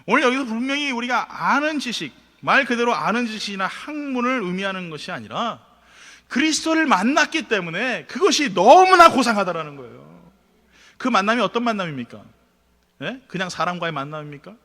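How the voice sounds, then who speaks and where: Fast, bright-voiced pastor preaching in a hall. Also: male voice, high-pitched. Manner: animated preaching, rising emphatic then dropping to quiet asides. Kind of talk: sermon. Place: church sanctuary.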